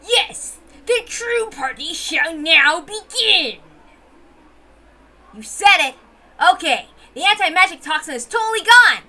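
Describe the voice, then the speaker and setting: A woman voicing a boisterous, gleeful witch hostess in a visual novel read-aloud, proclaiming loudly and theatrically. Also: extremely high pitch, sing-song, slow pace, voice pushed up, full character voice. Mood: gleeful, excited.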